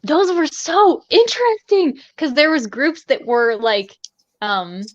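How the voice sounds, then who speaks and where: A woman co-hosting a casual book chat on a livestream, informal and a little halting. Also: high-pitched, very animated, dry recording, muffled recording. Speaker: livestream co-host discussing a book. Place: indoors, livestream call.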